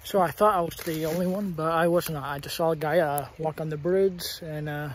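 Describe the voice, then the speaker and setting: A man's voice: on the high side, steady tone, a little fast, slight background noise. A young man vlogging outdoors on a wooded trail, talking to a phone camera held at arm's length, rambling and hesitant with lots of fillers. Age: young adult. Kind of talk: casual outdoor vlog.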